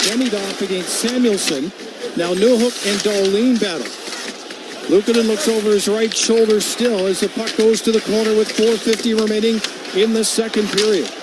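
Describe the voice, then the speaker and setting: A man calling live hockey play-by-play for radio over arena noise. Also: high-pitched. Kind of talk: live radio sports commentary. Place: arena broadcast position. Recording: loud arena noise behind voice. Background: hockey arena crowd.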